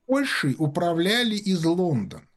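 Man spinning long stories, voice jumps all over, a bit husky, lots of ums and restarts. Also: sits high, very animated, moderate pace. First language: Russian. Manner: rambling storyteller, many fillers and restarts. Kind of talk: casual talk-show anecdotes.

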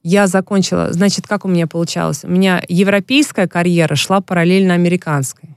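A woman's voice: lowish voice, fairly even intonation, fast talker, clean tone, no room sound, clean studio sound. A woman, a former professional basketball player, speaking as an interview guest and telling long, frank stories about her career. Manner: frank and reflective, storytelling at length. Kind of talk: casual interview talk.

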